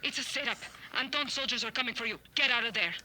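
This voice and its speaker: Game rebel leader on radio: urgent, commanding, very high and quick, female. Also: bright, forceful tone.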